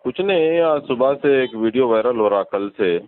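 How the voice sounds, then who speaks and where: A man's voice: mid-range voice, animated, normal speed. A man with a Hyderabadi accent on a recorded phone call, arguing insistently and in an accusatory way. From Hyderabad, India. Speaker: caller. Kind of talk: argumentative phone conversation. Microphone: telephone.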